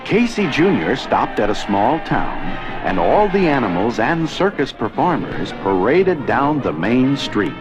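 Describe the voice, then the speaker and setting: Guy reading a kids storybook, slow and super expressive, music playing behind him. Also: medium pitch, low-quality recording. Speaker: storybook narrator. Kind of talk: scripted children's read-along narration.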